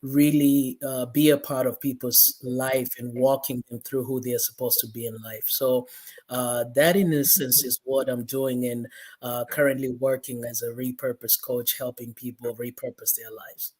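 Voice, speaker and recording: Earnest man, fairly even intonation. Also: medium pitch, normal speed, breathy edge. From Kenya. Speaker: guest, pastor and life coach. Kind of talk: earnest personal testimony in an interview.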